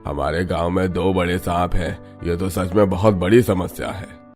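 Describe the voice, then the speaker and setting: A man playing a concerned, sensible village headman who gives measured instructions in a cartoon story. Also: low-pitched, fairly even intonation, a little mellow, soft background music, natural voice. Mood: grave. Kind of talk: animated Hindi cartoon story.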